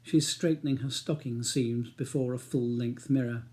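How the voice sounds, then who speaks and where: A man's voice: medium pitch, steady tone, normal speed, dark tone. A man with a British accent reading his own novel as a scripted audiobook narration.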